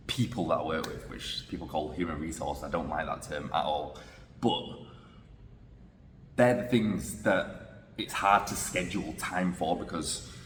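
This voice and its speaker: Male vlogger, deep and reflective, casual phone recording in a roomy space with faint background noise. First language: English. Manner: reflective, thinking aloud with restarts. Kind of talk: casual vlog talking to camera. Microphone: phone camera. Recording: echoey room with long reverb.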